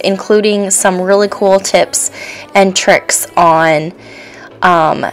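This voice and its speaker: A woman in her thirties, a stay-at-home mom, chatting casually and encouragingly in a voice-over with plenty of ums. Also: on the low side.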